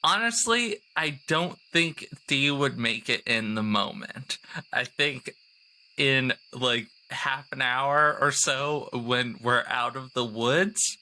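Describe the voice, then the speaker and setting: A man's voice: mid-range voice, slow pace, bright, forceful tone, poor audio. A man chatting casually as a player in a tabletop roleplaying game, slipping into in-character asides.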